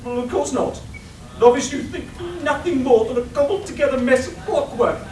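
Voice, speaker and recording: A woman's voice: high voice, normal speed, mellow, natural voice. Woman acting vain robot tailor, pompous, wounded, melodramatic, radio play, distant echoey recording. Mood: exasperated. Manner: pompous, melodramatic, self-pitying. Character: vain, exasperated clockwork machine tailor, theatrically wounded artist. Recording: echoey hall, distant mic, faint noise.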